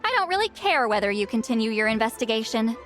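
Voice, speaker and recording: Haughty, high-voiced, impatient female shaman. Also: very animated, fast talker, fairly bright tone, faint music behind. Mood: brusque, dismissive. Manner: brusque, imperious, blunt. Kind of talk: video game voice acting.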